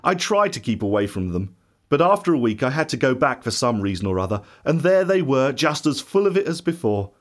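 A man playing a bitter, grief-stricken disciple in a dramatic first-person monologue, emotional and theatrical, swinging from hushed to shouting. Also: medium pitch, sing-song, fairly quick, close to an everyday voice. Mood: subdued. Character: anguished, bitter doubting male disciple recounting his grief.

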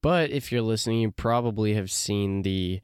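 A man's voice: fairly low pitch, moderate pace, a little mellow, smooth, dry recording, studio quality. A man hosting a casual solo podcast, talking loosely with many fillers and restarts, his voice a bit congested from allergies. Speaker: podcast host.

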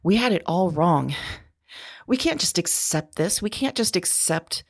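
A husky, creaky-voiced woman played by an actress in an audio drama, frightened and anguished as she waits to be taken away. She speaks slowly and haltingly, repeating herself and slipping into whispers, very close and dry. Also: lowish voice, expressive, no room sound, heavily acted. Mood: desperate defiance. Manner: halting, repetitive, often breaking into whispers. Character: frightened childless woman awaiting arrest, anguished, wavering.

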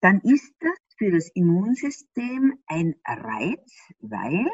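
A woman's voice: lowish voice, huge pitch swings, slow, breathy edge, no echo. A woman from Austria with an Austrian accent, explaining things animatedly in an interview, laughing often and asking rhetorical questions. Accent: Austrian German. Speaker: interview guest. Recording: slightly dull dry sound.

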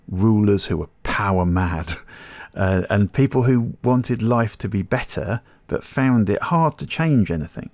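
A man co-hosting a podcast, explaining things conversationally in a measured way, sometimes posing rhetorical questions. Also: low voice, a little mellow, no room sound. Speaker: podcast co-host.

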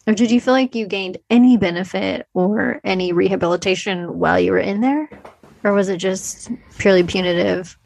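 Female co-host, medium pitch, casual chat, informal and giggly with a self-deprecating streak. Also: steady tone, a little fast, vocal fry, darkish tone. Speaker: podcast co-host.

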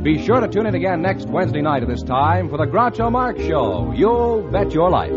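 An American man announcing in scripted mid-century radio style with a polished, salesman-like delivery. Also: on the high side. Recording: muffled old radio recording.

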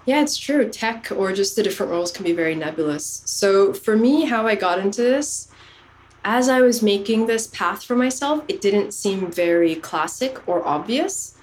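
A woman's voice: mid-range voice, lively intonation, moderate pace, slight background noise. A woman in her thirties, raised in Canada, earnestly telling the story of her career as a podcast guest. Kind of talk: casual interview chat.

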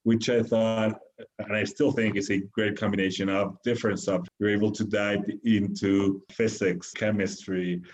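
A man's voice: fairly low pitch, little pitch movement, moderate pace, darkish tone, muffled recording, a little hiss or hum. A man in his thirties from Mexico, answering interview questions casually with many fillers and restarts. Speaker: guest, venture capital founder.

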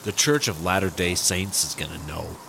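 A man as a lowish, lively, worried sidekick. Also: normal speed, vocal fry, a little hiss or hum, exaggerated voice. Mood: disapproving. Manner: worried, urging. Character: anxious, cautious male buddy-cop sidekick. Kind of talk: sci-fi comedy audio drama acting.

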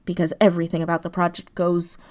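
A woman's voice: lowish voice, monotone, quick pace, a little mellow. Chatty woman, effusive storyteller. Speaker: podcast guest, audio drama writer. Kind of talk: casual interview chat.

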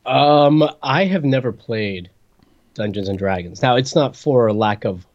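A man's voice: mid-range voice, expressive, a little slow, a little mellow, dull audio. A man co-hosting a podcast, a bit congested, trading playful puns in casual chat. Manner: playful punning, lots of fillers and restarts. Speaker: podcast co-host. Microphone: webcam setup, remote recording.

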